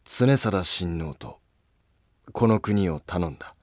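A man's voice: low voice, expressive, a little mellow, dry recording, full character voice. A man voicing an ailing old retired emperor, weary and solemn, in a historical drama. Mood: grave, urgent. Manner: slow, solemn, lordly speech. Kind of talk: scripted historical drama dialogue.

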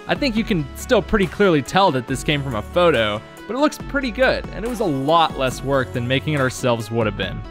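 A man's voice: a little high, very animated, a little fast, hardly any pauses, over music. A young man narrating a scripted vlog about his own work, with wry, self-deprecating humour. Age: young adult. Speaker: video creator and game developer.

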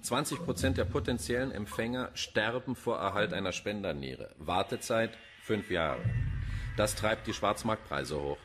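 An actor in a radio crime drama playing a macabre forensic pathologist, dry, pedantic and sardonic. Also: male voice, fairly low pitch, expressive, moderate pace, fairly bright tone, a little hiss or hum. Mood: dryly macabre. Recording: music behind the voice.

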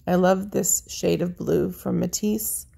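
A woman narrating her paint pouring video in a chatty, friendly way, her voice a little raspy. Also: on the low side, fairly even intonation, unhurried, dark tone. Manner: chatty, friendly, lists colors as she works. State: slightly raspy voice. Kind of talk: casual how-to narration over craft footage.

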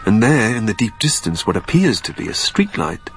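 A man acting a deep-voiced, melancholy ghostly narrator. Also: big pitch swings, fairly bright tone, soft background music. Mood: melancholy, reflective. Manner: reflective, measured, lyrical. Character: weary, melancholy dead man narrating his own haunting. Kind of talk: literary audio drama narration.

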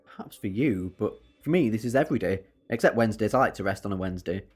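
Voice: mid-range voice, lively intonation, fast talker, breathy edge, faint music behind. An actor playing an eccentric alien time traveller in an audio drama, wry and quibbling but earnest and persuasive. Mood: wry, light. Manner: wry, quibbling, turning solemn.

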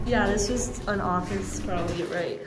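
A woman's voice: medium pitch, normal speed. A young American woman vlogging casually and expressively on a handheld camera, swearing freely. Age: young adult. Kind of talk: casual vlog chatter while exploring. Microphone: handheld camera at arm's length. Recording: loud music over handheld camera audio.

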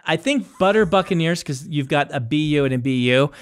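A man's voice: on the high side, expressive, brisk. A man in his forties co-hosting a podcast in casual, rambling chat, correcting himself as he goes, on a studio mic. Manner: rambling, self-correcting, playful. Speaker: podcast co-host. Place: small studio set indoors. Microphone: boom-mounted studio mic.

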